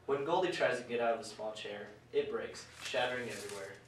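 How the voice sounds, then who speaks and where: A man's voice: mid-range voice, fairly quick. A man narrating a scripted storybook tale as part of a puppet show. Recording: very echoey room, distant, faint noise.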